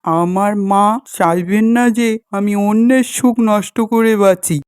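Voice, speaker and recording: Woman voicing an earnest, unhurried young woodcutter. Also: mid-range voice, fairly even intonation, darkish tone, clean tone, dry recording, studio quality, lightly acted. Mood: resolute. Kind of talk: cartoon fairy-tale character acting.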